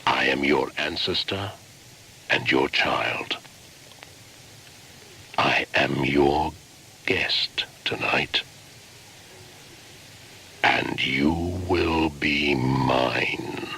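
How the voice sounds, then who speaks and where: Radio play: age-old midwinter shaman spirit, solemn menace, bottomless pitch, slow chanting delivery with whispers. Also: gravelly, slight background noise, heavily acted. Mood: ominous, incantatory. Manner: slow, incantatory, breaking into hushed whispers. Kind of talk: dramatic radio play monologue.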